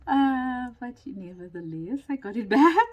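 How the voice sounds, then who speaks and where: A woman recovering from a lost voice and a sore throat, chatting casually through a crafting tutorial with many pauses and ums, sometimes dropping to a whisper. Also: a little high, very animated. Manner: slow, chatty, with frequent ums and laughs, drifting into whispers. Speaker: craft vlogger.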